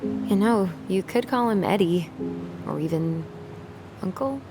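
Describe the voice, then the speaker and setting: A woman's voice: mid-range voice, animated, unhurried. A woman playing a caring, wry young sister in naturalistic, conversational video game dialogue. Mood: gently coaxing. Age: young adult. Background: game music.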